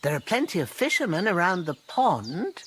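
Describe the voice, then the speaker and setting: A woman's voice: fairly low pitch, big pitch swings, normal speed, a bit bright, slight background noise. An actress playing a practical, matter-of-fact village herb woman in video game dialogue. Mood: helpful, matter-of-fact.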